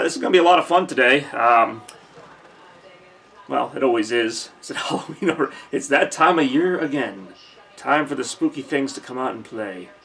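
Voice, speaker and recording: A man's voice: mid-range voice, gravelly. Chatty man hosting a holiday stream. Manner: chatty, joking, thinks out loud with many fillers. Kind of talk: casual live-stream chat reading viewer comments. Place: home room decorated for Christmas. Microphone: camcorder mic.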